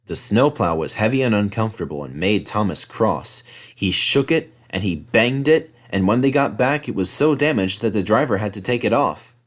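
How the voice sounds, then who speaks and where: A man's voice: on the low side, animated, normal speed. A man reading a children's story as scripted narration. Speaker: storybook reader.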